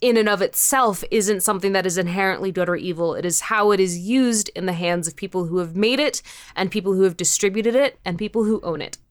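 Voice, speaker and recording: A woman's voice: medium pitch, a little fast, no room sound, clean studio sound. A woman chatting casually as a podcast guest, ranting in a sweary, exasperated way. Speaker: podcast interview guest, audio fiction creator. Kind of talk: casual animated interview chat.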